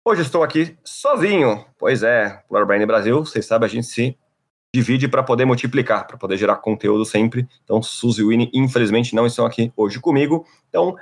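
A man's voice: mid-range voice, expressive, brisk. A man with a Brazilian Portuguese accent hosting a podcast alone, upbeat and playful in a casual chat. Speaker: podcast host.

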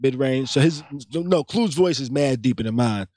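A man's voice: animated, normal speed, mellow, dry recording. Fields: Sex: man. Role: podcast host. Style: casual banter, laughs often. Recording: dry and close. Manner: laughs often, playful, drops into deep put-on voices for jokes. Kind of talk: casual banter with a cohost, reading live chat.

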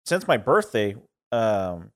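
A man's voice: fairly low pitch, very animated, takes it slowly. A man in his thirties co-hosting a podcast, easygoing with crude banter. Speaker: podcast co-host. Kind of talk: casual chat.

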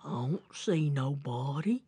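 A woman voicing a slow, gullible, good-natured male bear in a folk tale, with a hushed, breathy voice. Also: deep voice, animated, no echo, pitched below the performer's own voice, full character voice. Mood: puzzled. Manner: slow, simple, rustic folk speech. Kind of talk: animated folk-tale storytelling.

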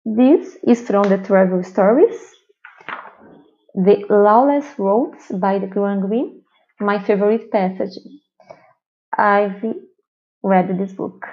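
Mellow woman reading slowly, muffled recording. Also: medium pitch, fairly even intonation. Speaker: participant reading aloud. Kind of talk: reading travel narrative aloud. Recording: dull lo-fi voice message.

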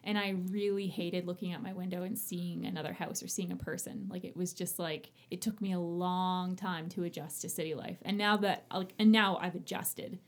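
A woman's voice: on the low side, steady tone, moderate pace, hardly any pauses. A woman from small-town Ontario with a Canadian accent, hosting a casual conversational interview in an effusive, chatty way. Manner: effusive, chatty, laughs often. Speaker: interviewer, host.

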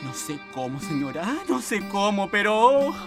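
A woman playing an excitable, fearful housemaid from the south in a radio play, with a Chilean accent and a breathless, deferential, rambling delivery. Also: mid-range voice, measured pace, loud music behind the voice. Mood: panicked. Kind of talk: Spanish-language radio theatre acting.

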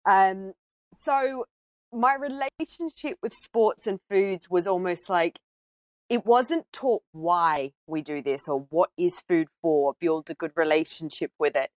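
A woman's voice: lowish voice, slow, no room sound, studio quality. A woman from Australia speaking as a podcast guest, telling personal stories in a conversational way.

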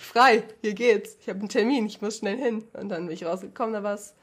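A woman's voice: mid-range voice, fairly quick, slightly rough. A young woman, a guest on a podcast, chatting casually and laughing often between her stories.

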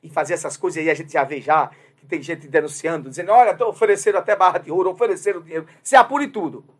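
Brazilian male commentator with a high voice, emphatic, drives his points home by repeating them. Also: quick pace. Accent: Brazilian Portuguese. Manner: emphatic, repeats key phrases, many "né" fillers. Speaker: political commentator. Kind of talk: opinionated political commentary.

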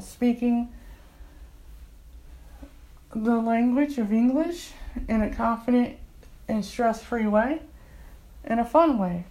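A woman's voice: fairly high pitch, darkish tone, low-quality recording. Earnest, enthusiastic woman in her forties. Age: 40s. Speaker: community English tutor and language learner. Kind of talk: casual personal testimonial.

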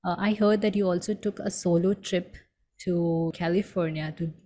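A woman's voice: lowish voice, fairly even intonation, normal speed, slight background noise. Woman host asking rambling questions. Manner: warm, complimentary, rambling questions. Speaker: podcast host. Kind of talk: casual interview questions.

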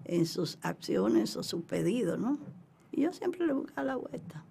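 Creaky-voiced Dominican woman, emphatic storyteller. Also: on the high side, big pitch swings, measured pace, dark tone, slight background noise. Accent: Dominican Spanish. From Dominican Republic. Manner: emphatic, acting out remembered exchanges in quoted speech. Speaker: interview guest, former representative of her country in China. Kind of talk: conversational interview storytelling.

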